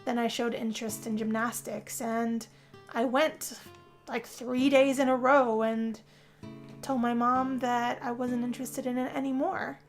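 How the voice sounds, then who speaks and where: A woman's voice: on the high side, little pitch movement, unhurried. A woman voicing a moody, weary young woman in a first-person dramatic role-play, with a sighing, confessional delivery. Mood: self-critical, restless. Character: young woman Yazmin, moody, weary, being turned vampire. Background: guitar music.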